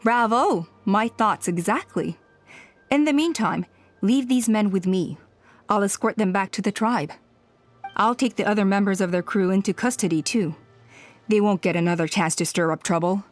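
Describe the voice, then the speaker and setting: Actress as a calm lady elder, mid pitch, formal and measured, game scene with music. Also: close to an everyday voice. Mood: cautioning. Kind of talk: anime game dub acting. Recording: music under the voice.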